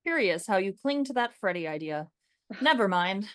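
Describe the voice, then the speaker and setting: A woman's voice: medium pitch, animated, normal speed, dry recording, studio quality, close to an everyday voice. A woman playing a devoted, stubbornly confident young male suitor in a comic radio play, earnest and matter-of-fact. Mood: determined, fond.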